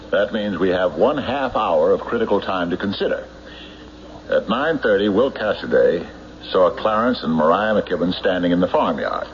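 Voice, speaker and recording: An actor in an old-time radio drama playing a shrewd, relentless sheriff who questions suspects with deliberate, accusatory pauses. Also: male voice, sits low, dull audio. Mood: probing, suspicious. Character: shrewd, relentless small-town sheriff interrogating murder suspects. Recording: noisy band-limited old radio broadcast recording.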